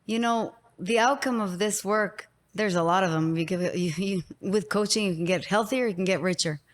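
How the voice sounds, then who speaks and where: A woman's voice: mid-range voice, moderate pace. A woman with an Israeli accent hosting an interview podcast, talking in an emphatic, effusive way. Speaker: podcast host. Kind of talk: interview conversation.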